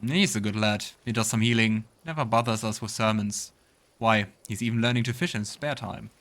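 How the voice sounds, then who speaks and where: A man's voice: lowish voice, moderate pace, fairly bright tone. A man voicing an amiable, teasing young village fisherman in a fantasy game, plain-spoken and easygoing.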